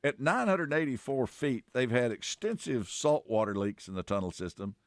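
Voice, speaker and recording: A man co-hosting a casual radio chat in a halting, folksy way. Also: medium pitch, lively intonation, no echo, studio quality. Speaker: radio show co-host.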